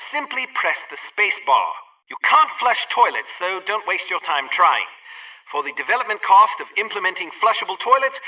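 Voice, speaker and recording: A man's voice: high voice, bright, forceful tone, distant mic, highs cut off, full character voice. A man playing a prim, helpful gadget instructor in a spy game, giving formal and precise scripted tutorial instructions. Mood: drily matter-of-fact. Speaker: training instructor.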